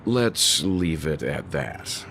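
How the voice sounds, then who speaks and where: A man voicing a composed, guarded middle-aged spacefarer in a video game, with a formal, measured, stern delivery. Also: rumbling low, very animated, fairly bright tone, a little hiss or hum, exaggerated voice. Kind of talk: scripted video game voice acting.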